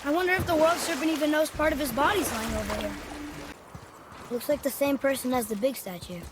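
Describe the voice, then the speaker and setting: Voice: high voice, fairly quick, noisy background, lightly acted. An actor playing a curious, eager young boy in a video game, talking in an earnest, inquisitive, casual way. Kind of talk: dramatic video game acting.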